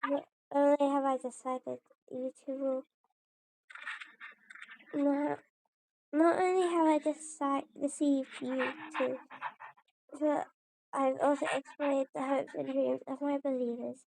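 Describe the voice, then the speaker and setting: A woman reading video game dialogue aloud in a halting way, often stumbling and correcting herself. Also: high voice, steady tone, gravelly, a little mellow, a little hiss or hum, rough recording. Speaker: streamer playing and voicing a video game.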